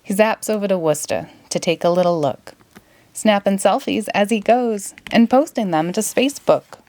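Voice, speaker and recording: A woman with a Boston accent reading a rhyming children's story aloud in an expressive, playful way. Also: medium pitch, moderate pace. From Massachusetts, United States. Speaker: storybook reader.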